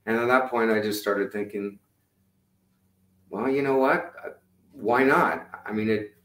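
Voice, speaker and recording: A middle-aged man with a low voice, chatting loosely about his music over a video call, with many fillers and restarts. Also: measured pace, a bit bright. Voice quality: lower-range voice. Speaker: musician guest. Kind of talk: casual interview chat. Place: home room indoors. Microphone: video call webcam. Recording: echoey room on webcam.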